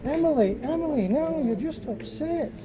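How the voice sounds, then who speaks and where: A woman playing a calm father who firmly soothes his daughter. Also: high voice, moderate pace, crowd noise, low-quality recording. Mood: soothing. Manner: soothing, firm. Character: calm steady father of the bride. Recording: clear background noise.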